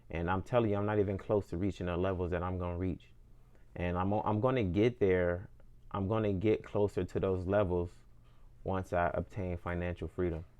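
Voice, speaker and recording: Low, flat-voiced young man musing. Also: dull audio. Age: 20s. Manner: earnest, repetitive, restarts phrases while thinking aloud. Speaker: independent musician. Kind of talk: reflective personal monologue.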